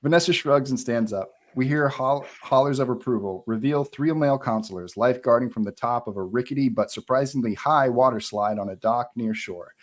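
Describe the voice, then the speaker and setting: A man's voice: mid-range voice, brisk, few pauses. A man reading out screenplay stage directions as narrator at a live table read.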